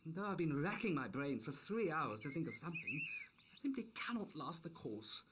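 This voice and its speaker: A man voicing a hearty, flustered young upper-class English gentleman, full of effusive apologies and old-fashioned exclamations, as he reads a novel aloud. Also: a little high, very animated, a little fast, noisy background, pitched above the performer's own voice. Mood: pleading. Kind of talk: acting out a character while reading a novel aloud.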